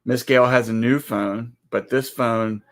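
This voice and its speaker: A man in his forties co-hosts a relaxed livestream. He jokes dryly and deadpans his teasing. His voice is at an ordinary pitch for a man. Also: measured pace. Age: 40s. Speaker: co-host of a ranch livestream. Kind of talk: casual livestream chat.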